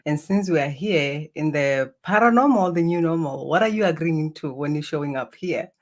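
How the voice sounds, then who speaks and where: A woman's voice: low voice, moderate pace. A middle-aged woman from Botswana, a native Setswana speaker with a Botswana accent, reflecting on her life as an interview guest. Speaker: interview guest, spiritual healer. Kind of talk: reflective personal storytelling.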